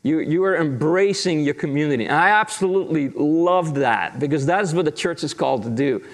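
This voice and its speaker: A man's voice: a little high, brisk. A man who grew up in the Netherlands and speaks Dutch as his first language, preaching as a guest pastor in an easy, chatty way with self-deprecating jokes. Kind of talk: sermon.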